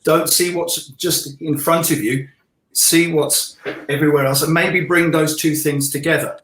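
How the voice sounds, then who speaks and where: A man's voice: a little high, steady tone, a little slow, a bit bright. A middle-aged man chatting casually as a guest illustrator, easygoing, with self-deprecating humour. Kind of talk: casual online live chat. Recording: echoey room sound.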